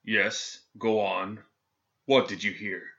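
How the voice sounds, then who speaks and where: A man voicing a cold, sadistic male governor general who speaks coolly and with calm menace. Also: lowish voice, takes it slowly, creaky, highs cut off, heavily acted. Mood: cool impatient. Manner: cool, clipped, menacing.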